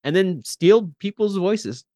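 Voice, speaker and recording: A man's voice: sits high, lively intonation, takes it slowly, mellow, dry recording. A man chatting casually as a podcast guest, informal, often repeating and rephrasing himself as he goes.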